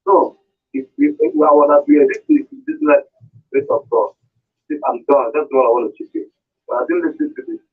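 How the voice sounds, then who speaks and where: A Nigerian man with a husky voice phoning a radio show to give his opinion. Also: a little high, huge pitch swings, brisk. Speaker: radio phone-in caller. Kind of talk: giving an opinion on air. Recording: tinny phone line into radio.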